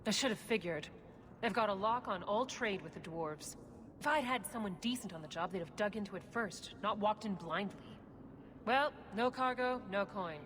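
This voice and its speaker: A woman's voice: medium pitch, lively intonation, moderate pace, fairly bright tone, slight background noise, natural voice. Actress as a curt trader woman, echoing hall. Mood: curt, disapproving. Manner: curt, businesslike. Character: curt, businesslike shady female trader. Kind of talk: dramatic video game dialogue. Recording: hall reverb with music behind.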